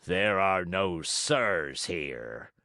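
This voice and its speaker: A man voicing hoarse, booming puppet-master Fire Eater. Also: expressive, drawn-out words, sharp tone, dry recording, clean studio sound, heavily acted. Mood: gruff. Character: gruff bearded male puppet-show director, hungry, bad-tempered yet softhearted.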